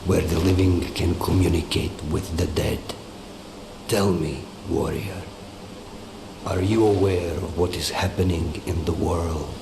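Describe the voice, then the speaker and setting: Casting: imposing old lake guardian spirit, very low and echoing, stern and weighty, game cinematic with background noise. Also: male voice, gravelly, full character voice. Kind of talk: dramatic video game acting.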